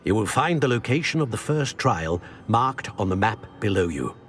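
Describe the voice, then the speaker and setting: An older actor playing a solemn ancient wizard in a video game, speaking in a formal, measured, grave manner. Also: male voice, lowish voice, sing-song, a bit bright. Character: solemn ancient wizard, keeper of secret magical knowledge. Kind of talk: video game cutscene acting. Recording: music behind.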